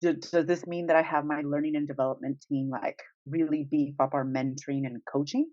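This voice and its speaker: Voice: mid-range voice, fairly even intonation, fairly quick, darkish tone, no echo. A podcast co-host in casual conversation who thinks aloud in rambling questions with light chuckles. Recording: slightly dull, dry sound.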